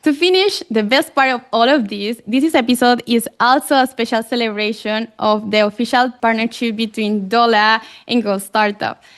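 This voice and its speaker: A woman's voice: on the high side, moderate pace, hardly any pauses. Colombian woman hosting in careful English. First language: Spanish. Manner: eager, with small English slips and fillers. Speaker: podcast host. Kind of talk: podcast interview.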